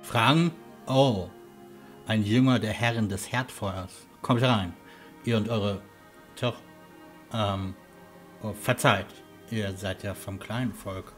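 A man's voice: fairly low pitch, fairly even intonation, slow pace, soft background music. A man playing a hospitable, slightly flustered villager in a fantasy audio drama, hesitant and apologetic. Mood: welcoming.

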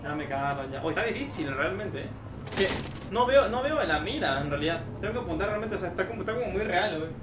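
A young man livestreaming a video game, chatting casually with his viewers and breaking into sudden loud outbursts. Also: high voice, animated, fast talker. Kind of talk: casual gameplay livestream chat. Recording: noisy echoey room, from far off.

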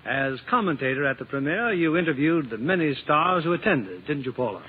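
A man's voice: a little high, sing-song, fairly quick, slightly rough. A man hosting a radio drama in a scripted, formal 1950s broadcast style. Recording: muffled, noisy old radio broadcast.

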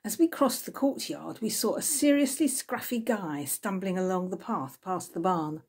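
A woman's voice: medium pitch, animated, normal speed, darkish tone, slightly rough. A woman reading a novel aloud, voicing a friendly, chatty male guest. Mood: informative. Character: Brian, friendly departing male retreat guest.

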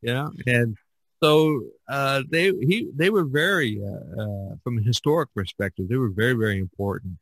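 Halting, searching male podcast host. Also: mid-range voice, slightly rough, no room sound. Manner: halting, repetitive, searching for words. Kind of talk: casual discussion.

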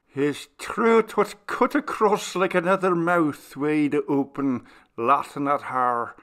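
A man voicing an old servant woman telling a sorrowful ghost story in a folksy, deliberate way. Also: a little high, fairly bright tone. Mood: grim, pitying. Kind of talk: literary dialogue storytelling.

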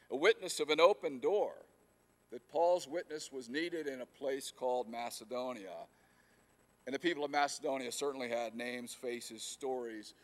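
A middle-aged male pastor preaching from the pulpit in an earnest, halting way, often restarting a phrase and leaning hard on key words. His voice sits at an ordinary pitch for a man. He speaks into a lapel mic in a church sanctuary. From United States. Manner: earnest, with frequent fillers and restarts, rising emphasis on key words. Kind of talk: sermon.

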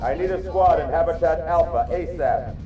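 A stern video game military commander acted by a man issues clipped, commanding battle orders. The voice is a little high for a man and sits in very loud music. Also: normal speed, dull audio, close to an everyday voice. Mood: urgent, commanding. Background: loud game music and battle noise.

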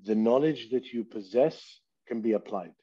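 A man from Saudi Arabia in his thirties, speaking slowly and reflectively as an artist guest on a video call interview, sometimes talking about himself in the third person. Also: medium pitch, mellow, breathy edge. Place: small room indoors. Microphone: headset on video call. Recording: dull lo-fi call audio.

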